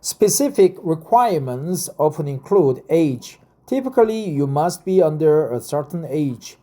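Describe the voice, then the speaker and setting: A man in his fifties speaks English with a Korean accent, at a slow pace and in a slightly high, mellow voice. He reads points out deliberately, then drifts into halting, casual asides, repeating phrases as he goes. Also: lively intonation. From South Korea. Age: 50s. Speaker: vlogger explaining a career path. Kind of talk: reading aloud from notes with casual personal asides.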